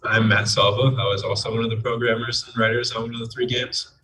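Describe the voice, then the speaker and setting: A man's voice: medium pitch, flat delivery, quick pace. A man explaining his work as a game programmer and writer in an interview. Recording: distant mic with crowd chatter behind.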